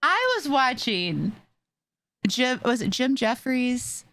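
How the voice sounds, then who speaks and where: A woman's voice: sits high, very animated, takes it slowly, fairly bright tone. A woman chatting casually as a co-host on a podcast.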